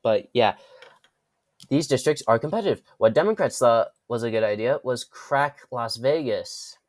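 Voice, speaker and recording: A man's voice: medium pitch, animated, moderate pace, no room sound, clean studio sound. Congested man rambling through political commentary. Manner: rambling, with frequent restarts and self-corrections. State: congested from a cold. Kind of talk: casual explainer commentary.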